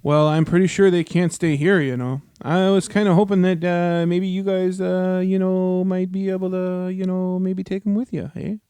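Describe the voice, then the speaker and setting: A man's voice: sits high, little pitch movement, normal speed, hardly any pauses, warm tone, smooth, dry recording. A man playing a folksy, apologetic elf host with an exaggerated Minnesotan accent in a comedy radio drama. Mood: sheepish, placating. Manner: folksy, full of hesitant friendly tag words.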